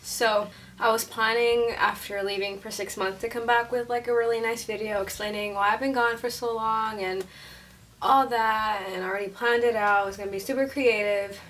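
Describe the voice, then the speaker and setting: A woman's voice: fairly high pitch, fairly even intonation, moderate pace, fairly bright tone, a little hiss or hum. Chatty young woman, slightly distant mic. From Syria. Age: 20s. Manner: chatty, says like a lot, laughs often. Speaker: YouTuber. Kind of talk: casual vlog chat.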